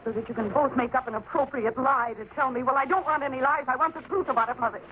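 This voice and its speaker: A woman's voice: high voice, fast talker, close to an everyday voice. An actress playing a determined young woman in an old-time radio horror drama, with an intense, earnest, dramatic delivery. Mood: demanding. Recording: tinny, very noisy old radio recording.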